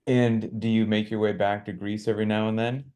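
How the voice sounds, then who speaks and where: Low-voiced American man hosting. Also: little pitch movement, fairly quick, a little mellow. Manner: friendly, chatty questioner. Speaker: interview host. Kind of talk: casual video-call interview.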